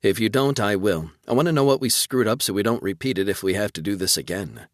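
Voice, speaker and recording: A man's voice: lowish voice, quick pace, no echo, clean studio sound, natural voice. A man voicing a shrewd, forthright female ship's officer in a sci-fi audiobook. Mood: shrewd, frank. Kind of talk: audiobook character dialogue.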